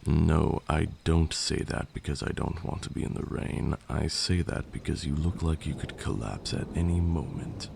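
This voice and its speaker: Guy doing a haughty caring vampire lord for asmr, super creaky stern voice, echoey room with some noise behind. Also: very deep voice, normal speed, hardly any pauses, a little mellow, full character voice. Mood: firm, concerned. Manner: stern, formal, scolding. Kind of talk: ASMR roleplay.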